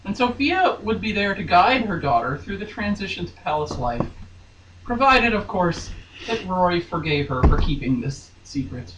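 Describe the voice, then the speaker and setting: A woman's voice: lowish voice, sing-song, moderate pace. A woman co-hosting a podcast, giving a hammy, mocking dramatic reading of a novel with chatty, jokey asides. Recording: roomy, distant mic with faint noise.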